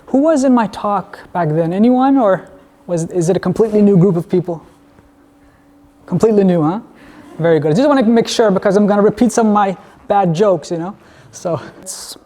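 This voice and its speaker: A man's voice: high voice, moderate pace, dark tone. A man from Denmark giving a university lecture in a conversational way, often asking the audience questions. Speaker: guest lecturer. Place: lecture hall. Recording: reverberant lecture hall.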